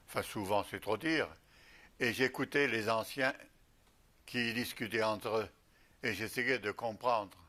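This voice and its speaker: An older man from Alsace, a retired missionary bishop, recalling his life slowly with many pauses. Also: mid-range voice, bright, forceful tone. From Alsace, France. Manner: slow, halting recollection with many pauses. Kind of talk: reminiscing interview.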